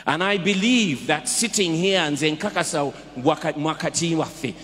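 Impassioned Ugandan priest in echoing church. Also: male voice, fairly high pitch, moderate pace, a bit bright. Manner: impassioned, rhetorical questions, emphatic exhortations. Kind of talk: sermon. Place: large church. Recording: reverberant church hall.

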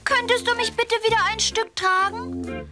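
Very high-pitched little tiger cub. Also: female voice, wildly swooping pitch, moderate pace, over music, exaggerated voice. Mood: plaintive. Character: small childlike tiger cub, plaintive and naive. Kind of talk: children's audio play acting.

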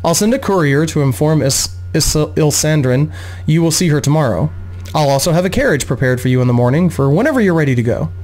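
A man voicing a gracious, composed noblewoman mother with a measured, genteel manner in a fantasy audiobook. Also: a little high, expressive, darkish tone, lightly acted.

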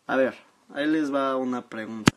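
A seventeen-year-old young man with a Mexican accent, chatting casually and haltingly as he reads out and answers comments on a live stream from his phone. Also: mid-range voice, very noisy. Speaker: social media live streamer. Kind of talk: casual chat, reading out and answering viewer comments. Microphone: phone at arm's length.

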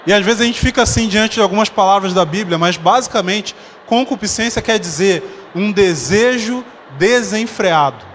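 High-voiced man preaching in a reverberant hall. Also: fairly bright tone. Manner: conversational, explains slowly with humorous asides. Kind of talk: conference sermon. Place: dark church stage. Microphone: handheld mic. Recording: long hall reverb, faint noise.

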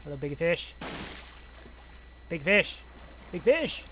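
Slow-paced gamer with game noise behind. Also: medium pitch, very animated, fairly bright tone. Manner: playful, talks to game objects and enemies. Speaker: gamer narrating own playthrough. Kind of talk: casual let's play commentary.

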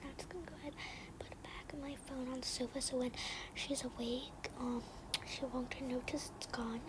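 A young female performer voicing a resentful, sneaky young girl in a game roleplay, often muttering in a breathy half-whisper with casual slang. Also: high-pitched, fairly even intonation, moderate pace, natural voice. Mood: relieved, plotting. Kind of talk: improvised game roleplay storytelling. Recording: noisy home recording with clicks.

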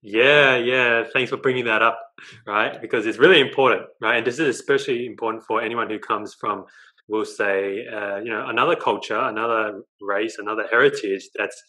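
Young Australian man, bright forceful voice, animated storyteller, earphone mic on a video call from his living room. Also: fairly low pitch, a little fast, poor audio. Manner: animated storytelling, gestures a lot, tags lines with "right?". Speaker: guest, dating coach.

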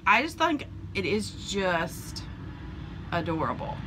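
A woman's voice: mid-range voice, very animated, takes it slowly, noisy background. A woman, casually showing off flea market finds in a homey, chatty way with frequent ums, recorded on a camera mic in a small room. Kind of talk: casual show-and-tell vlog. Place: small room indoors with shelves.

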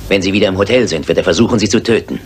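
Man playing commanding detective, low voice, quick delivery, formal, shrewd, radio play. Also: lightly acted. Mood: urgent, firm. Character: male detective, commanding, shrewd, self-assured. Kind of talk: German radio crime drama acting. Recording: noisy old radio play recording.

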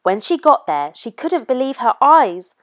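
Animated woman reading a children's storybook. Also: high voice, measured pace. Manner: animated, clearly paced read-aloud for young children. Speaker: storyteller reading a children's picture book aloud. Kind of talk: expressive scripted storybook narration.

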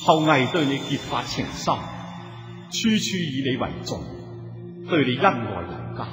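An actor playing a solemn, admonishing inner voice of conscience in a radio drama, in heavy reverb. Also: male voice, mid-range voice, very animated, unhurried. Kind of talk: Cantonese radio drama acting. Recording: very echoey reverb over music.

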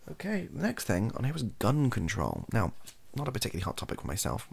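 A British man around thirty giving improvised, softly spoken comedic commentary in a rambling, dryly humorous way, often interrupting himself. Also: medium pitch, huge pitch swings, fast, creaky, background noise. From Wales, UK. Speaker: comedy ASMR video creator. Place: small cluttered bedroom or home office, indoors.

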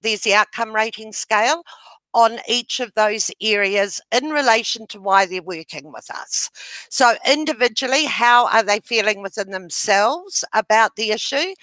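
A middle-aged woman from New Zealand giving a webinar over a video call with a headset, explaining a method in a loud, gesturing way. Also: on the high side, expressive, moderate pace, no room sound, poor audio. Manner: loud voice, gestures while talking. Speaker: webinar presenter. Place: home room, indoors.

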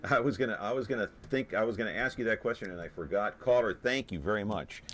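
Low-voiced American TV host, brisk. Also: male voice, fairly bright tone, slight background noise. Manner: brisk, steers the guest and takes calls. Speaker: TV talk show host. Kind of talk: local TV interview. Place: local TV studio. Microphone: desk mic.

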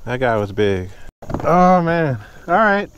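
A young man vlogging outdoors from a kayak on a lake, talking excitedly to the camera while fishing, recorded on a body-worn action camera. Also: a little high, slow pace, noisy background.

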